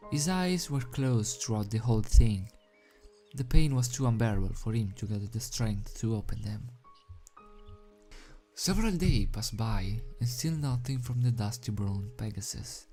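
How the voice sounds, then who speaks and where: A man's voice: on the low side, lively intonation, a little slow, mellow, faint music behind. A man reading a story aloud as an amateur narrator, dramatic in delivery and sometimes stumbling over the script. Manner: stumbles over words and rereads phrases. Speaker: amateur fan fiction reader.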